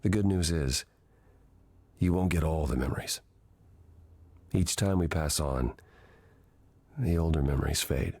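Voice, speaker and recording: A weary, ancient god in a video game, guiding a newcomer with gentle patience. The voice is low and a little husky, recorded dry and close, with a measured, thoughtful delivery. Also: male voice, no room sound, heavily acted. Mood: patient, reassuring. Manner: measured, reflective, explanatory.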